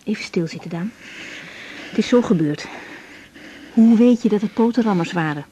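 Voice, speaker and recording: A woman's voice: mid-range voice, animated, moderate pace, dark tone, natural voice. An actress playing a caring, practical young woman doctor in a naturalistic radio drama. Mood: soothing, brisk. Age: young adult. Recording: clearly noisy old radio drama recording.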